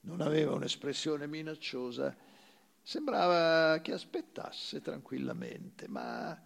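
A man's voice: on the high side, big pitch swings, takes it slowly, gravelly. A man, an actor, reading a short story aloud as dramatic literary narration.

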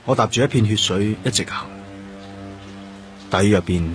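Actor as deep-voiced grieving Hong Kong police detective. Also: male voice, normal speed, soft background music, natural voice. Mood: numb, sorrowful. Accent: Hong Kong Cantonese. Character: grief-stricken male police detective narrating his girlfriend's death. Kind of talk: radio drama monologue and acting.

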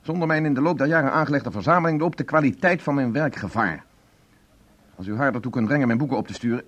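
An actor in a radio play voicing an aggrieved, insistent writer with a husky voice. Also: male voice, mid-range voice, fast, natural voice. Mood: insistent, irritated. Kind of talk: Dutch radio play acting. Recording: dull lo-fi radio play recording.

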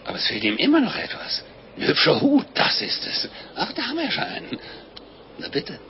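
A man's voice: a little high, huge pitch swings, fairly quick. A muffled, hissy 1950s radio drama recording. a jovial, pious monk, theatrical and unctuous. His fatherly, fussy speech swings freely up and down.

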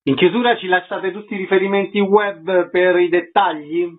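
High-voiced male radio host, thin sound. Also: fairly even intonation, normal speed, narrow, tinny audio. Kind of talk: scripted radio presenting.

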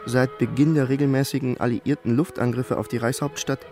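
A man's voice: medium pitch, fairly even intonation, brisk, a little mellow, soft background music, natural voice. A man reading out stage directions as a radio narrator. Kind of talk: scripted radio feature reading.

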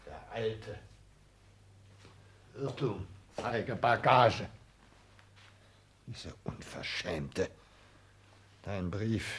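A man's voice: deep voice, a little hiss or hum. A man playing a bitter, zealous petty secretary in a 1960s German radio play, declaiming with scorn.

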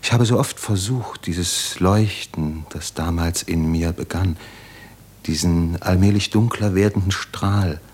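Tender poetic lover, deep voice, very slow, hushed, solemn, radio play acting. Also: male voice, breathy edge, full character voice.

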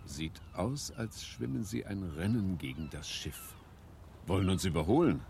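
Deep, creaky, seductive ship's captain. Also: male voice, very animated, a little slow, a little hiss or hum, exaggerated voice. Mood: musing, teasing. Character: seductive, commanding ship's captain, male, given to verse. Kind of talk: German radio drama acting. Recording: loud music over the voice.

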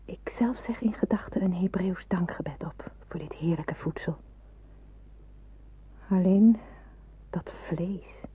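A very breathy, husky young person in hiding speaks in a hushed, reflective way, polite and restrained. The radio drama recording is thin and muffled, like a telephone line. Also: female voice, on the low side, slow, pauses often, exaggerated voice. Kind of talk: radio drama acting with first-person narration.

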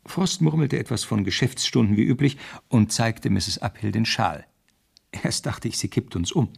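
Casting: young constable and wry narrator, put-upon, husky with gravelly edges, seventies radio crime drama. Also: male voice, mid-range voice, expressive, normal speed, exaggerated voice. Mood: wry narration. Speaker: actor. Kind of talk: 1970s radio drama acting and first-person narration.

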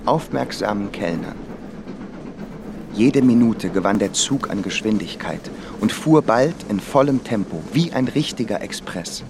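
A man's voice: on the low side, creaky. An actor playing an observant composer reading out his travel diary in a radio play, reflective and precise, with vehicle noise behind him.

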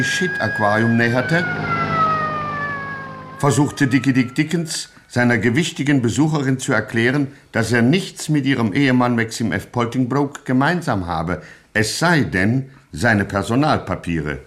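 A man's voice: mid-range voice, moderate pace, background noise, close to an everyday voice. An actor playing the mock-dramatic male narrator of a gangster satire, in scripted radio style.

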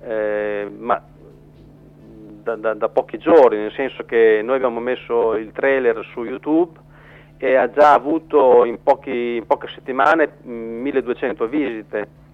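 A man being interviewed as a guest, explaining haltingly and repeating words, over a narrow phone line. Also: mid-range voice, steady tone. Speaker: metalworker, journalist and documentary co-director, interview guest.

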